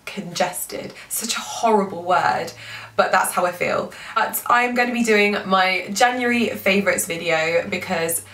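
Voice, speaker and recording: A woman's voice: mid-range voice, a little slow, fairly bright tone. A young woman, congested from a cold, chatting casually about beauty products with lots of "like" and "um". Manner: chatty, frequent "like" and "um". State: congested, lost voice, sore throat. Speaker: beauty vlogger. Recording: echoey room, mic a bit far.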